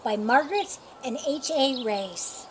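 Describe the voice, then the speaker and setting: A woman reading a picture book aloud as children's storytime, with deliberate, expressive phrasing for young listeners. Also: high-pitched, background noise.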